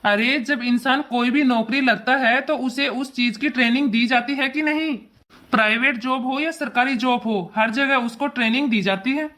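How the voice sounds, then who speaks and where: A woman's voice: sits high, normal speed, fairly bright tone. A woman voicing an indignant, protective father who lectures emphatically in a cartoon family drama. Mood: stern lecturing. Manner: emphatic, lecturing, repetitive scolding.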